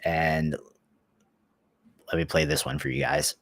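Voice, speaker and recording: Casual male streamer, deep voice, excitable, restarts a lot; dry sound. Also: unhurried, dry recording. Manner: chatty, excitable, repeats himself. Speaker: livestream host. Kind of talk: casual livestream talk.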